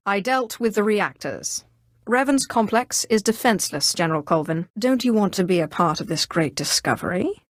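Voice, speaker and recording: Haughty, sardonic Sith sorceress, dry recording. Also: female voice, medium pitch, no room sound. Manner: imperious, sardonic, crisply commanding. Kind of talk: scripted video game character dialogue.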